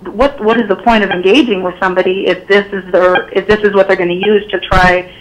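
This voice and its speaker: A woman calling into a talk show, hoarse from a cold, asking her question hesitantly with many pauses. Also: medium pitch, steady tone, rough recording. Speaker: caller. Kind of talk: phone-in conversation.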